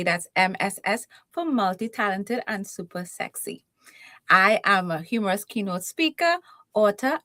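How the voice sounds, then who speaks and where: Trinidadian lady, funny and sure of herself, mid pitch, dead dry sound. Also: pushed and bright, dry recording. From Trinidad and Tobago. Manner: playful, self-assured, humorous. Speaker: guest, keynote speaker and confidence coach. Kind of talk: conversational interview.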